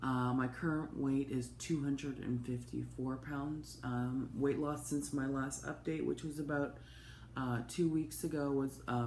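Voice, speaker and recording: A woman, hoarse from a cold, giving a chatty, open personal update to camera with plenty of ums and asides. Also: rumbling low. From Canada. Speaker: vlogger. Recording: echoey room with faint noise.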